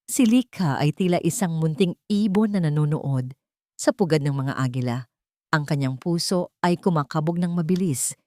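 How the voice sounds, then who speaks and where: A woman's voice: low voice, darkish tone, no room sound, clean studio sound. A woman with a Filipino accent reading a scripted story as a dramatic narrator.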